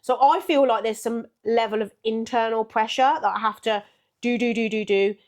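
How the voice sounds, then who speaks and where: A woman in her thirties co-hosting a podcast, her voice still croaky from being ill, telling stories in a lively way. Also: on the high side, moderate pace. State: croaky after illness. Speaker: podcast co-host. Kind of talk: casual chat.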